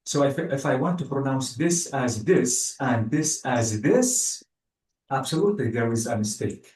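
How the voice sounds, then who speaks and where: A man teaching an English class online, speaking slowly and patiently and praising the student often. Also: medium pitch, lively intonation, a little mellow. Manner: slow, patient, spells out word endings, praises with "bravo". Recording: echoey, distant room sound.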